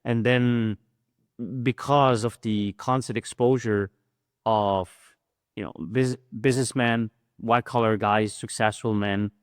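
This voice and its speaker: Man, middle-aged, low pitch, smooth resonant voice, dry sound, earnest guest. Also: steady tone, slow pace, no echo. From Switzerland. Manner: earnest, fluent with many "right?" tags. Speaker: guest, fitness coach. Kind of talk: casual interview talk.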